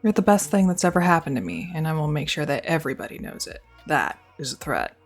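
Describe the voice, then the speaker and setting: A woman's voice: low voice, sing-song, fast talker, gravelly, faint music behind. A young woman performing a scripted girlfriend roleplay, speaking close to the mic in an affectionate, teasing and reassuring way.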